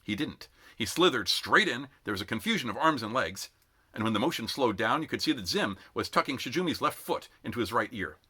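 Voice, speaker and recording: A man reading a novel aloud as an amateur narrator, in an expressive, dramatic audiobook style. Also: medium pitch, brisk, breathy edge. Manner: animated, dramatic phrasing.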